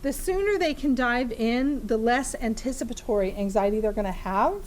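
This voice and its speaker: A woman therapist with a big voice leading a live training demonstration in a room with a small audience, chatty and lively, laughing often, with many fillers. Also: a little high, a little hiss or hum, poor audio.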